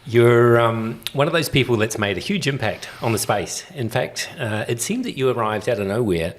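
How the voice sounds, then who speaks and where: A man hosting a podcast, relaxed and affable, talking casually with plenty of fillers. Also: mid-range voice, moderate pace. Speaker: podcast host.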